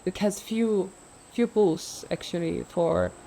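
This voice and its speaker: Soft-spoken woman, slow and mellow. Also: lowish voice, gravelly, slight background noise. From Ukraine. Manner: soft-spoken, hesitant with many fillers, laughs often. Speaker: freediver guest. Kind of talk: relaxed interview conversation.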